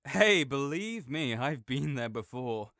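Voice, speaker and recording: A young man playing a caring, easygoing friend who speaks gently and reassuringly to the listener in an audio roleplay, with some soft joking. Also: mid-range voice, sing-song, slow, no room sound, studio quality, heavily acted.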